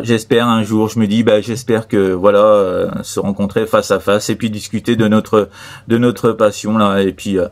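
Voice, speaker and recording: A man chatting casually as an amateur radio operator, repeating himself with friendly sign-offs. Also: fairly low pitch. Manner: repetitive, filler-heavy, friendly sign-offs. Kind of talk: casual radio contact chat.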